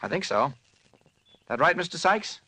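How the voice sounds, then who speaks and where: An actor playing an earnest, determined American reporter in a 1940s radio drama, brisk, probing and firm. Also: male voice, on the high side, big pitch swings, sharp tone, breathy edge, exaggerated voice. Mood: earnest, explaining. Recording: noisy vintage radio recording.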